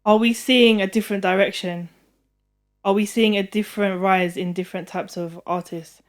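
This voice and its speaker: A woman's voice: mid-range voice, fairly even intonation, normal speed. A woman, co-hosting a casual music podcast, chatty and full of questions. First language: not English. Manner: chatty, asks lots of questions, says "do you get what I'm saying". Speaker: podcast co-host. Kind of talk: casual chat.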